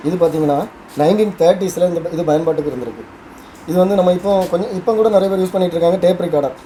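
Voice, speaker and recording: Fast-talking man, soft dark tone, Indian Tamil. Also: high-pitched, slight background noise. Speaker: host. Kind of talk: explanatory vlog presenting.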